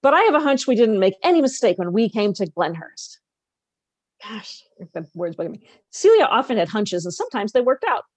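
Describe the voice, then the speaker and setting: A woman's voice: fairly high pitch, very animated, brisk, no echo. A woman co-hosting a podcast, expressively reading a novel aloud with casual, conversational asides.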